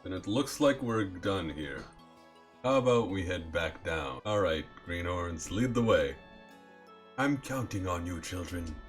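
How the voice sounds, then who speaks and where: A man voicing a gruff, condescending but friendly reporter in an amateur game playthrough. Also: low voice, animated, a little slow. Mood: brisk, teasing. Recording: music under the voice.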